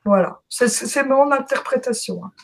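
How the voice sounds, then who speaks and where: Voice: medium pitch, moderate pace, creaky, a bit bright. A guest sharing a spiritual interpretation in casual chat, stammering and repeating words.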